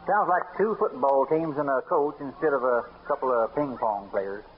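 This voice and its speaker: An actor playing a slow-witted, excitable cowboy sidekick, folksy and comically grumbling, in old radio style. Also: male voice, medium pitch, natural voice. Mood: grumbling, puzzled. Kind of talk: 1940s-50s comic radio acting. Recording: dull, noisy old radio recording.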